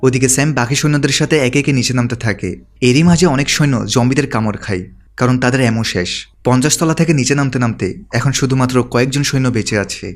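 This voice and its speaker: A man's voice: mid-range voice, quick pace. A man delivering scripted narration as the narrator of a movie recap. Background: background music.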